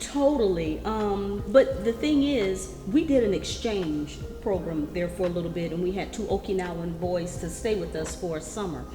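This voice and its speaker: A woman in her fifties talks through her life story, warm and chatty with frequent pauses. Her voice rings in a roomy space with a long reverb tail. Soft music plays faintly underneath. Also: lowish voice. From South Carolina, US. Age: 50s. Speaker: interviewee. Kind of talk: casual conversation. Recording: echoey room with long reverb.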